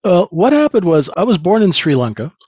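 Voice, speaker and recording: A man in his fifties who grew up in Canada, telling his life story as an interview guest in a relaxed, rambling way with many fillers. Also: sits high, fairly quick, slightly rough, dry recording. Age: 50s. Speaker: trader guest. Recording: dull lo-fi dry sound.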